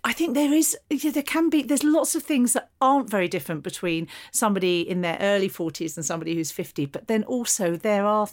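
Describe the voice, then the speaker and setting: A woman's voice: medium pitch, lively intonation, brisk, few pauses. A fifty-year-old woman, hosting a podcast and introducing guests in an encouraging way. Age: 50s. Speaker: podcast host. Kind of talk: casual interview. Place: a home, indoors.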